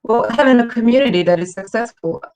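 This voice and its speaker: A woman's voice: fairly high pitch, darkish tone, slight background noise. Woman on a video call. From Croatia. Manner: halting, repeats words while searching. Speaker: community manager, panel guest. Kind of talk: online panel talk.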